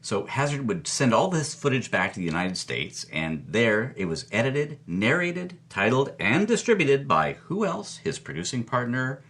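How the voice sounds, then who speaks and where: A man's voice: medium pitch, big pitch swings, moderate pace, few pauses, a bit bright. A man speaking as an amused, enthusiastic film historian, telling a story in conversation with emphatic delivery.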